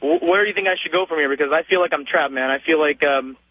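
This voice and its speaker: A man's voice: a little high, fast talker. A man calling a radio show, chatting in a casual, slangy, informal way over the phone. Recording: tinny phone line on radio.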